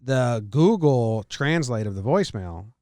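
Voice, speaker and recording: A man's voice: medium pitch, expressive, unhurried, warm tone, dry recording, studio quality. An American man hosting a podcast, talking sports in a casual, conversational way. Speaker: podcast host.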